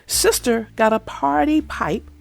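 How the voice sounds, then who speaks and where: A woman reading a children's picture book aloud in an expressive, sing-song storytelling manner. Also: fairly high pitch, a little slow, gravelly. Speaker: storybook reader. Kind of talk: children's read-aloud narration.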